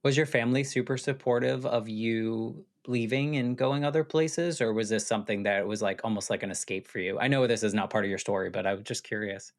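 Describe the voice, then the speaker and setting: A man's voice: lowish voice, brisk, few pauses. An American man hosting a podcast, asking curious, chatty questions with lots of fillers. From United States. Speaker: podcast host. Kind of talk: casual interview conversation.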